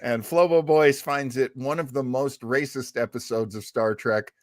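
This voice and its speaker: A man's voice: mid-range voice, steady tone, normal speed, dry recording, studio quality. A man hosting a podcast in casual, conversational chat. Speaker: podcast host.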